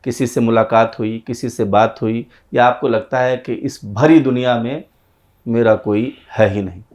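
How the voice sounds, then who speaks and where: Interviewer guy with a sore throat, slow drawn-out rhetorical questions, talks right to the viewers, mid-pitched. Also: steady tone, darkish tone. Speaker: interviewer, channel host.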